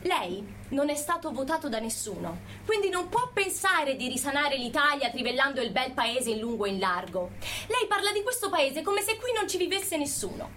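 High, animated, indignant actress as a woman activist. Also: moderate pace, slight background noise, full character voice. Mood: scornful, indignant. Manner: pointed, rhetorical, biting. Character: indignant woman researcher and activist, scornfully accusing. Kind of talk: dramatic protest monologue, open letter.

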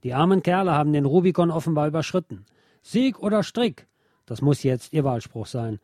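A man reading historical accounts as scripted radio narration in a measured, literary manner. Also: fairly high pitch, lively intonation, dark tone, no echo, studio quality.

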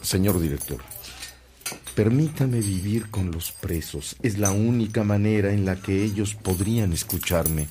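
Un actor de radionovela que interpreta a un sacerdote misionero católico, bondadoso y firme, de hablar solemne y sincero. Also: male voice, on the low side, expressive, measured pace, warm tone, slight background noise. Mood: earnest, resolute. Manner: earnest, solemn, comforting. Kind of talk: radio drama acting.

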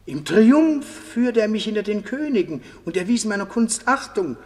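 High-voiced, grateful army cook. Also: male voice, big pitch swings, normal speed, a little mellow. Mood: earnest pride. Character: proud, grateful male army cook among the dead. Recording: long hollow reverb.

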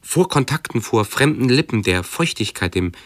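An actor in a radio drama playing an anxious, pedantic hypochondriac who speaks in a halting, brooding, fussily precise way. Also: male voice, medium pitch, lively intonation, no echo, lightly acted. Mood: anxious, fussy. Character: anxious, pedantic male hypochondriac with obsessive self-observation. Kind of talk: radio drama monologue and dialogue.

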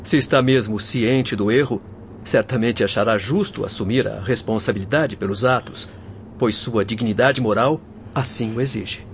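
A man's voice: fairly low pitch, moderate pace, lightly acted. A man acting an earnest young doctor in a radio drama, with a solemn, exhorting manner. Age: young adult. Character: young doctor, earnest, energetic moral counsellor. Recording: dull lo-fi audio with noise.

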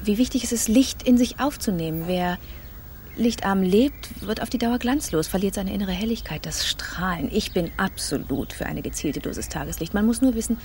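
A woman's voice: medium pitch, lively intonation, moderate pace, a little mellow, a little hiss or hum, natural voice. An actress playing a vain beauty devotee in a radio drama, preaching her creed in an earnest, self-assured, emphatic way. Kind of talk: radio drama, chatty opinion monologue.

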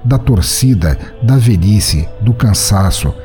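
Animated low-voiced Brazilian male narrator. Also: slow pace, soft background music. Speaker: podcast host and narrator. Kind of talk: dramatic scripted short-story narration.

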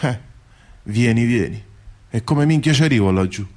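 A man's voice: mid-range voice, animated, normal speed, a little mellow, close to an everyday voice. A humble bricklayer played by a male actor tells his dream in a radio play. He speaks in salty, colloquial phrases, repeating words with earthy wonder. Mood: wondering, chatty. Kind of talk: theatrical radio monologue, colloquial storytelling.

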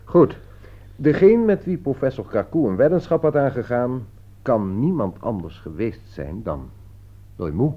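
A man's voice: medium pitch, big pitch swings, a little slow. A man acting in a Dutch radio play as a shrewd, composed author who reasons like a detective, with pointed questions. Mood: triumphant, deliberate. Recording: dull lo-fi radio play recording.

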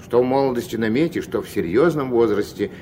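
An actor playing a gruff, strict old river captain and grandfather, curt and moralising, in a Soviet radio play. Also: medium pitch, fast talker, warm tone, lightly acted. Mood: gruff, instructive. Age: older. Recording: noisy old 1970s mono radio recording.

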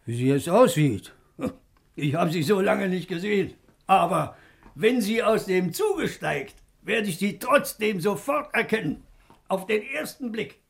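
An excitable, creaky, high-voiced old father. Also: a little slow, heavily acted. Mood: lonely longing. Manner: eager, emotional. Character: lonely aging father, excitable, hopeful, yearning. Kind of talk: 1950s German radio drama acting.

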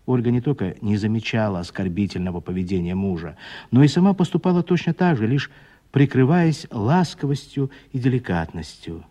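A man narrating a literary radio play with expressive, scripted storytelling. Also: mid-range voice, a little fast.

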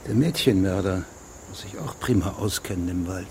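An actor playing a formal, persistent investigating judge who questions witnesses precisely in a German radio drama. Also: male voice, low-pitched, normal speed, darkish tone, a little hiss or hum. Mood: formal, methodical.

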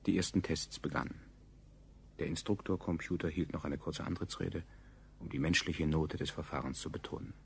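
An actor playing a grave chronicler, narrating a radio play in a measured, faintly ironic way. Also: male voice, a bass voice, fairly even intonation, vocal fry, a little hiss or hum. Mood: sober recounting. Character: grave male chronicler, a survivor recalling the state.

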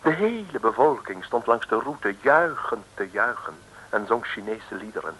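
A man's voice: lowish voice, sing-song, normal speed, sharp tone, breathy edge, low-quality recording. A man narrating a 1950s radio comedy serial in a wry storytelling tone, talking to the listeners. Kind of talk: scripted radio play narration. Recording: old radio broadcast over music.

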